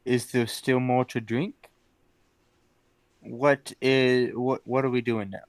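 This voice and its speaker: A man's voice: medium pitch, flat delivery, slow pace, dry recording. A man playing a tabletop role-playing game, talking hesitantly with many fillers. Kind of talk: in-character table talk. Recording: dry close sound.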